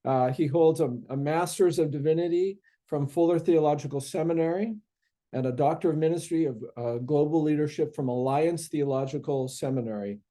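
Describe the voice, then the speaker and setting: A man's voice: a little high, fairly quick, warm tone. A man moderating a seminary panel on humility, introducing the speakers with many fillers and restarts.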